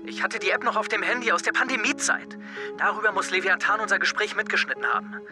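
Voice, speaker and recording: Man playing a high-voiced, evasive teenage boy. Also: fast talker, over music, natural voice. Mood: pleading, evasive. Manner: hesitant, defensive. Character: evasive, defensive, insecure teenage boy, an online friend. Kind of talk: German audio drama acting, call dialogue.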